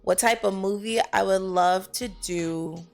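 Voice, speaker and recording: A woman's voice: mid-range voice, unhurried, soft background music. An American woman speaking casually and expressively as an actress answering interview questions, often posing questions to herself as she explains. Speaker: film actress being interviewed.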